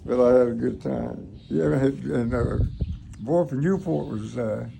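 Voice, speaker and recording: Over clear background noise, a man in his eighties reminisces in a Southern American accent. His voice is creaky and of ordinary pitch for a man. He rambles in a folksy, casual way and recreates old conversations as he goes. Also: a little slow. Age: 80s. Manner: folksy, rambling, acts out remembered dialogue. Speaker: grandfather recounting his life. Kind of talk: casual oral storytelling.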